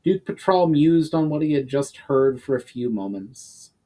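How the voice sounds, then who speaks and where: A man's voice: fairly high pitch, animated, measured pace, dark tone. A man narrating a fantasy story as a dramatic reading of his own book.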